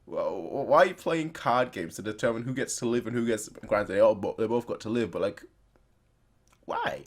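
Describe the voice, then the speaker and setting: Animated man giving casual reactions. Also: lowish voice, normal speed. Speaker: YouTube reaction host. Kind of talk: casual off-the-cuff reaction commentary.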